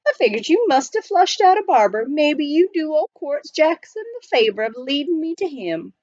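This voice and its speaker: A woman voicing a friendly, rough-hewn young gold miner in folksy frontier dialect for a children's storybook. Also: high-pitched, very animated, normal speed, a little mellow, no room sound, highs cut off, pitched above the performer's own voice. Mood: folksy, cheerful. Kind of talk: children's storybook read aloud.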